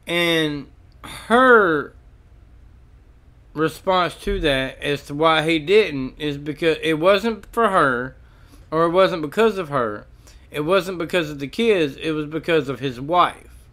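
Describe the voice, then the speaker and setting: A man's voice: on the high side, fairly bright tone. A man giving opinionated video commentary, emphatic and repeating phrases for effect. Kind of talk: opinionated commentary on an audio recording.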